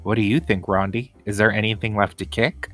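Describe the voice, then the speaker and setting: A man's voice: fairly low pitch, fairly even intonation, a bit bright, faint music behind, lightly acted. Man acting a coy, teasing schoolyard grown-up. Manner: coy, drawn-out questioning.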